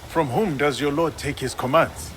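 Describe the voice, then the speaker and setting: A man playing a formal, resolute foreign-born samurai in a video game cutscene, speaking in a measured, grave way. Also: fairly high pitch, fairly bright tone, a little hiss or hum, natural voice. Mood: probing.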